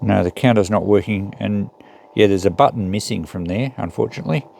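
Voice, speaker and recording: A man's voice: fairly low pitch, brisk, vocal fry, darkish tone, a little hiss or hum. A man giving casual, unscripted commentary as he thinks aloud through a hands-on repair job. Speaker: host of a repair channel.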